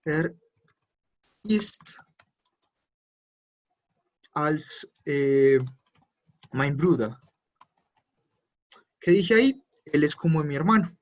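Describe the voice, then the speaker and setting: A man's voice: a little high, animated. Guy teaching german online, patient, lots of ehs, muffled computer mic. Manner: patient, explains step by step with pauses and fillers. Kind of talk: online class explanation. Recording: dull lo-fi online class audio.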